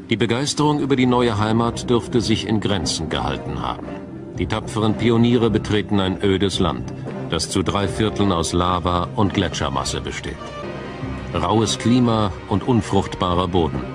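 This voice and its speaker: Deep voiced guy narrating a history doc, serious storyteller vibe, music under it. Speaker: documentary narrator. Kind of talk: scripted documentary narration, dramatic.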